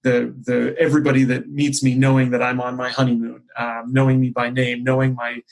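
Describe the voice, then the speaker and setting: A man speaking as a podcast guest in a conversational business discussion, explaining ideas in measured, hesitant phrasing. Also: mid-range voice, little pitch movement, creaky, low-quality recording. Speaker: podcast guest, marketing executive.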